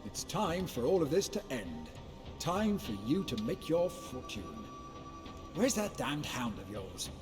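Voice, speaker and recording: An actor playing a menacing, commanding bounty hunter in a video game, speaking in curt, deliberate threats. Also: male voice, a little high, wildly swooping pitch. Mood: urgent, determined. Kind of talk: video game cutscene acting. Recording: reverberant hall with music behind.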